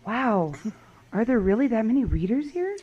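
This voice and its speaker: A woman playing a curious wandering survivor who loves books, in an audio drama, with an expressive, questioning delivery. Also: fairly high pitch, moderate pace, highs cut off. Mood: incredulous, indignant. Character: wandering female book lover, curious, pleading, indignant survivor. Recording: clearly noisy background with clicks.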